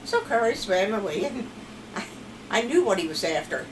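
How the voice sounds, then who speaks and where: A woman's voice: medium pitch, big pitch swings, normal speed. Woman in her seventies, Pennsylvania Dutch accent, chatty rambling storyteller, distant mic, noisy background. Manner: chatty, rambling, laughs between stories. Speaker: grandmother being interviewed. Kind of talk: casual family storytelling.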